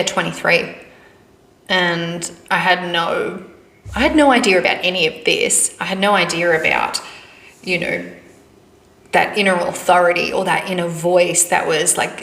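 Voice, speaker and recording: Podcast host, female, thirties, soft-spoken and reflective, fairly low voice, roomy recording. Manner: halting, reflective, many restarts and fillers. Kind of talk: personal storytelling monologue.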